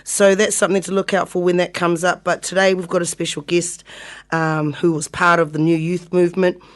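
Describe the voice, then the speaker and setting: A woman hosts a radio chat in a studio. She talks in a loose, friendly, chatty way and laughs easily. Her voice sits slightly low. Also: level tone, a little fast. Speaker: radio podcast host. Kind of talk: casual chat.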